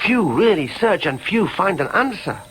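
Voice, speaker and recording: Earnest, high-voiced son. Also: male voice, very animated, moderate pace, a bit bright, slight background noise, poor audio. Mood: insistent, eager. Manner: earnest, emphatic, self-questioning. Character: earnest grown son searching for identity, needy and insistent. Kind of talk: radio drama with inner monologue.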